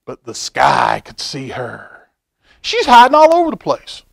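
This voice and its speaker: A middle-aged man doing a library storytime, reading a picture book and chatting to children in an expressive, folksy manner, repeating phrases for emphasis. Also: medium pitch, a little slow, vocal fry. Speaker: library storytime reader, stand-in host. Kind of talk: animated picture-book read-aloud with asides to children. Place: indoor library room, seated at a table.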